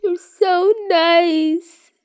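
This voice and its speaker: A woman's voice: extremely high pitch, steady tone, a little mellow, voice pushed up, full character voice. A woman voicing a giggly, tearful teenage boy drugged after dental surgery, whining and dragging out words with a mouth muffled by cotton. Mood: tearful, gushing. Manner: whiny, drawn-out words.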